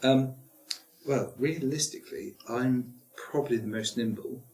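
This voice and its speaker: A man's voice: mid-range voice, measured pace, a little mellow. A man chatting casually as a player in a tabletop game, thinking aloud and joking. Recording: roomy, echoey group recording.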